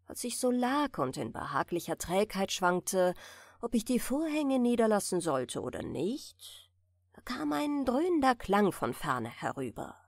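Slow, gentle woman reading a bedtime story. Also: medium pitch, big pitch swings, no echo, studio quality. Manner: gentle, soothing, unhurried delivery. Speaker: audiobook narrator.

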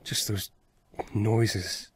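A man with an American accent playing a wry, guarded, weary young survivor in an apocalypse audio drama, speaking dryly and haltingly. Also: lowish voice, level tone, husky, heavily acted. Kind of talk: fan audio drama acting.

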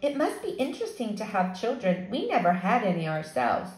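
A woman voicing a fussy older woman who hates reptiles, shrill and prim. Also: very animated, fairly quick, lightly acted. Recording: echoey room.

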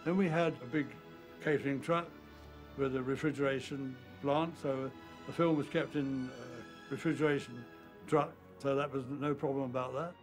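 A man's voice: medium pitch, steady tone, moderate pace, soft background music. A man describing practical arrangements on a desert film shoot in a plain, practical way.

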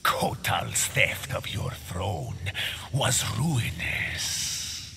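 An actor voicing a haughty, grasping creature in a video game, with a rasping voice and a drawn-out sneer. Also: male voice, low voice, big pitch swings, exaggerated voice. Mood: sly, disdainful. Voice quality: creaky, rasping. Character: sly, haughty, grasping male otherworldly creature. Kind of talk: video game villain acting. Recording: clear background noise.

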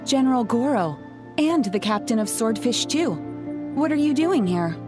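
An actress playing a calm, thoughtful young shrine maiden who gives advice deliberately in an anime game. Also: female voice, fairly high pitch, lightly acted. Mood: polite, curious. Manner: composed, deliberate, instructive. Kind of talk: anime video game dialogue. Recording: music clearly behind the voice.

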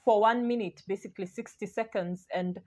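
A woman's voice: medium pitch, fairly even intonation, brisk, a little mellow. A young woman from Kenya, talking casually to camera in her bedroom as a vlogger, thinking aloud and repeating "basically". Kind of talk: casual solo vlog. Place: bedroom, indoors.

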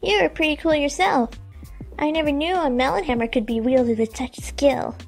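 A woman's voice: high-pitched, normal speed, creaky, faint music behind. An actress playing a perky, cocky, playful teenage huntress girl in an audio drama. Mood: playful bragging.

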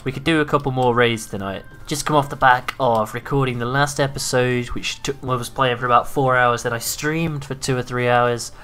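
A man giving casual gameplay commentary, his voice a little raspy from hours of talking, excitable and swearing freely. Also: mid-range voice, moderate pace, hardly any pauses, a little hiss or hum. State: slightly raspy from long talking. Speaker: gaming YouTuber.

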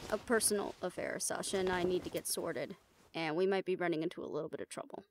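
Creaky-voiced, confident huntress played by a woman. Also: lowish voice, fast, a little hiss or hum, close to an everyday voice. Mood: businesslike, discreet. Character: confident, teasing female monster-hunter with a sharp tongue. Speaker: voice actor in audio roleplay. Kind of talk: intimate scripted audio drama acting.

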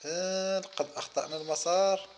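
A man with a slightly high voice gives simple gameplay commentary aimed at young children. He speaks in short, easy phrases, as a host would to small kids. Soft music plays behind him. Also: steady tone, fairly quick. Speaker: host of children's gaming videos.

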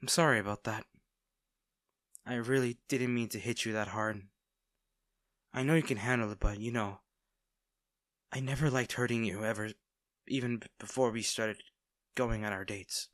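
A man's voice: medium pitch, fairly even intonation, normal speed, pauses often, no room sound, clean studio sound, natural voice. A man playing a tender, nervous villain in an intimate audio roleplay, stammering and hesitant as he speaks to the listener. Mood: apologetic, tender. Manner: hesitant, stammering, soft-hearted. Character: smitten masked male villain, nervous, tender, teasing.

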